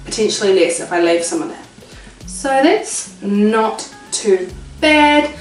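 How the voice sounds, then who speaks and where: A woman's voice: medium pitch, lively intonation, slow, roomy sound, recorded from a distance, soft background music, poor audio. A woman with a New Zealand accent chatting casually to viewers as she cooks at home, with self-mocking asides. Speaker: vlogger. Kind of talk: casual cooking vlog. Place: home kitchen.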